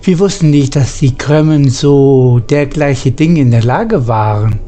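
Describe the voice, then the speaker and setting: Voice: mid-range voice, measured pace, mellow. A player reading game dialogue aloud, with casual remarks in between.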